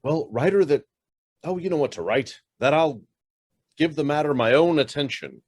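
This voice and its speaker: A man's voice: a little high, normal speed, darkish tone, breathy edge. A man playing a guarded, brusque middle-aged head of an investment firm with a guilty past in a radio drama. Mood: curt, impatient. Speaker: actor.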